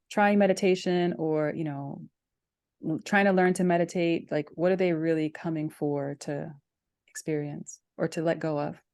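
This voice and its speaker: A woman's voice: sits low, dark tone, clear, resonant tone. A woman hosting a conversational interview podcast about healing practice. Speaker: podcast host, meditation practitioner.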